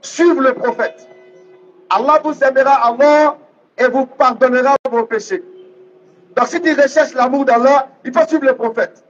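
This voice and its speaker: Guy preaching islam, really high voice, teaching hard and repeating lines, muffled lo-fi audio. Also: moderate pace. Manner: emphatic, didactic, repeats readings phrase by phrase. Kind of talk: public religious debate preaching. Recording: dull, lo-fi sound.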